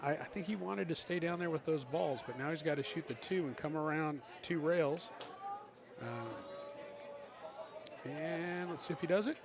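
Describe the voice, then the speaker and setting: A man giving excitable live pool commentary as a team captain, coaching the players aloud. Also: a little high, normal speed, creaky. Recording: loud crowd around.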